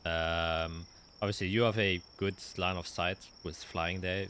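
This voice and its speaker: A man narrating a tabletop roleplaying game as game master, explaining in a halting way and often rephrasing. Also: low voice, fairly even intonation, fairly bright tone, a little hiss or hum.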